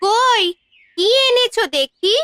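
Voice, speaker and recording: A performer voicing a fisherman's wife in a cartoon, with a childlike voice and drawn-out words. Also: very high-pitched, bright, forceful tone, dry recording, studio quality, exaggerated voice. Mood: caring, curious. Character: fisherman's caring, worried village wife. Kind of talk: cartoon voice acting.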